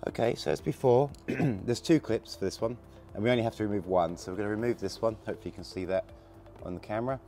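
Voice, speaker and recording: A man with a gravelly voice from a cold, casually talking through a hands-on installation while he works. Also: lowish voice, fast talker, hardly any pauses, faint music behind. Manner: relaxed, chatty, talks while working with hands. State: hoarse from a cold. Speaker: product installer demonstrating. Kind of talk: casual how-to demonstration.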